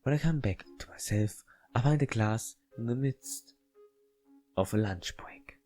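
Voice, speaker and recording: Slow, halting man narrating a game. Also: mid-range voice, warm tone, faint music behind. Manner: halting, stumbles and repeats words while reading. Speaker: gaming video host playing a visual novel. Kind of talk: casual let's play commentary with read-aloud story text.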